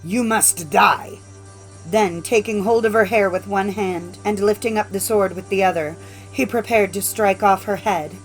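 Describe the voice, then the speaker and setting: A woman's voice: medium pitch, steady tone, normal speed, low-quality recording. Female fairy-tale audiobook narrator, music behind. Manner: formal, storytelling.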